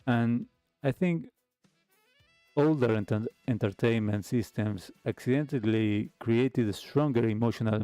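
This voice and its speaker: Slow-talking man hosting a reflective show. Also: mid-range voice, dark tone, no echo. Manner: hesitant, halting phrasing with pauses. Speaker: host of a retro radio talk show. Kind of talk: reflective livestream monologue to listeners. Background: background music.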